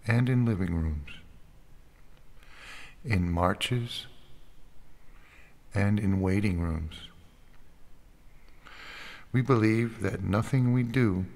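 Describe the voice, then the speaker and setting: A man reading a statement of faith as a church speaker, in a solemn liturgical style with measured short phrases and deliberate pauses. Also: on the low side, creaky. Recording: long hall-like reverb.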